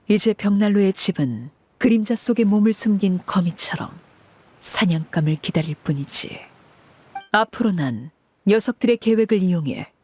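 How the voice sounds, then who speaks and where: An actress playing a stern woman leader, speaking in a measured, formal, authoritative way in a dramatic game scene. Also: medium pitch, darkish tone, no room sound, lightly acted. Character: stern, commanding woman leader of an orphanage, called Father. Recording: music under the voice.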